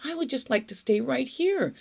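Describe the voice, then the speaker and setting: A woman's voice: high-pitched, animated, normal speed, gravelly, voice pushed up, full character voice. A woman voicing a vain monkey in a storybook, preening and gushing over itself. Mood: self-admiring. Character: vain, beauty-struck monkey.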